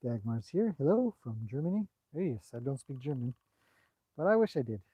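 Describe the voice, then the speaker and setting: A man's voice: medium pitch, big pitch swings, fairly quick, dark tone, slightly rough, no echo, poor audio. A man hosting a casual outdoor livestream, chatting loosely about his horses and answering viewer comments, recorded on a cell phone. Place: outdoors by a horse paddock on a bright day.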